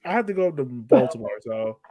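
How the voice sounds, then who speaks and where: A man's voice: mid-range voice, animated, brisk, highs cut off. A man co-hosting a soccer fan podcast, talking casually and informally about games in an upbeat way. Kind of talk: casual game-analysis chat.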